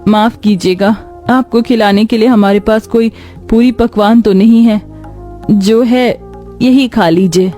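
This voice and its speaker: A woman voicing a caring, dutiful young farmer's daughter in a cartoon story, speaking gently. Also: fairly high pitch, fairly even intonation, unhurried, faint music behind, close to an everyday voice. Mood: hesitant, polite.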